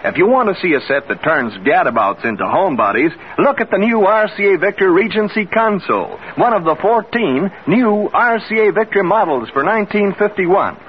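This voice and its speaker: Male 1950s American radio pitchman, high animated voice, scripted sell, muffled vintage sound. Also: a little fast, hardly any pauses. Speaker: radio announcer. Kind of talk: 1950s radio advertisement read. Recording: hissy, dull old radio recording.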